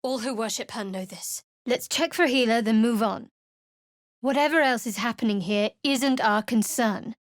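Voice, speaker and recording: A woman's voice: a little high, normal speed, no echo, clean studio sound, natural voice. A woman voicing a guarded, secretive young female cleric in a video game, speaking in a cool, wry, clipped way. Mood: curt. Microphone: dry close studio mic.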